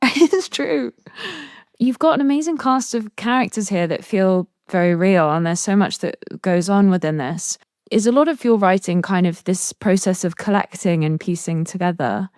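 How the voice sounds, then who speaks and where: A woman's voice: medium pitch, normal speed, darkish tone, no room sound, studio quality. A woman interviewing in a conversational way, effusive and appreciative as she talks.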